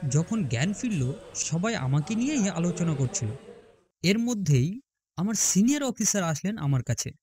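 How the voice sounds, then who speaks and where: A man narrating a horror story in the first person, expressive and theatrical in his delivery. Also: high-pitched, normal speed, husky. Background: prominent music.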